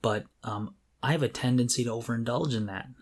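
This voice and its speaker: A man's voice: mid-range voice, a little fast. A man with a mid-range voice talks as a podcast co-host. His style is casual and conversational. He is candid about himself and jokes at his own expense. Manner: self-deprecating, jokey and candid.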